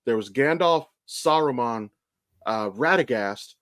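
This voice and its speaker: A man's voice: medium pitch, lively intonation, a little slow, fairly bright tone, no echo. Man podcasting in a dry, close sound. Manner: sweary, explanatory fan talk. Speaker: podcast co-host. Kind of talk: casual chat, opinionated pop-culture commentary.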